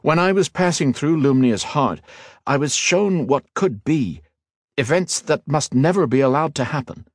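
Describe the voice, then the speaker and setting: A man voices a modest, steady young hero in a fantasy audiobook. The voice is pitched somewhat higher than his own and moves animatedly. The recording is dry and close. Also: moderate pace, no room sound. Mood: earnest. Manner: earnest, modest.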